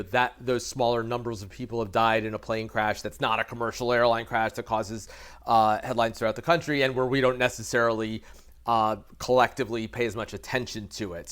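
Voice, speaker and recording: An American man speaking as an expert guest in an interview, explaining haltingly with repeated words and careful qualifications. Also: medium pitch, a bit bright, clear, resonant tone. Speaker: expert guest, aviation reporter. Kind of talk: conversational interview analysis.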